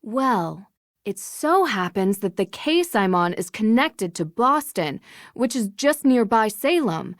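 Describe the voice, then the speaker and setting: A woman voicing a plucky, curious young detective in a video game, speaking in a bright, inquisitive, articulate way. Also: medium pitch, very animated, slow pace, dry recording, studio quality. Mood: thoughtful.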